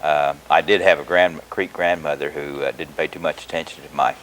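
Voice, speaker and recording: Older Oklahoma man, very low voice, unhurried guest answers with frequent pauses, faint tape hiss. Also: fairly even intonation, a bit bright, rough recording. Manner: measured, hesitant with many filler pauses. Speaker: guest, retired education professor. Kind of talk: interview answers.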